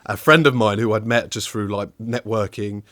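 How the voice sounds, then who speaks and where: A man's voice: on the low side, fairly even intonation, moderate pace, fairly bright tone, no echo. A young man from just outside southwest London, with a southern English accent, chatting casually and upbeat as a guest. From England, outside southwest London. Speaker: guest, technical audio designer. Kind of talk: casual interview chat.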